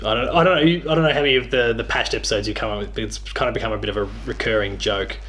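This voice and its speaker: A man's voice: medium pitch, steady tone, rapid-fire, fairly bright tone. A man in his thirties with an Australian accent, chatting casually as he hosts an interview. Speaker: host interviewing a guest. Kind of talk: casual chat about video games. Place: small living room, on a couch. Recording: clear background room noise.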